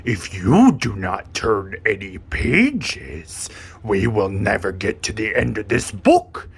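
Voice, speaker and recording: Lovable furry storybook monster, nervous and pleading, husky, very slow drawn-out emphatic delivery. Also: male voice, lowish voice, very animated, heavily acted. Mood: hushed, secretive. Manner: melodramatic, drawn-out, emphatic delivery without contractions. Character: lovable furry male monster, excitable, easily frightened, pleading. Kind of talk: theatrical children's storybook puppet performance.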